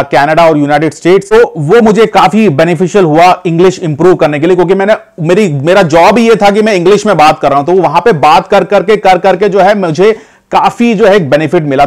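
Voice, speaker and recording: High-voiced Indian man vlogging. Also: a little mellow. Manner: chatty, addresses viewers as "guys", many fillers and restarts. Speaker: vlogger giving advice. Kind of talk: casual talk to camera.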